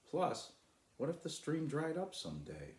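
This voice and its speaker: A man's voice: a little high, huge pitch swings, unhurried, a little mellow, reverberant, pitched above the performer's own voice. A man voicing a loyal, steadfast talking dog in a children's storybook, reassuring and reasoning. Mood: earnest, cautioning. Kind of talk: children's storybook read aloud.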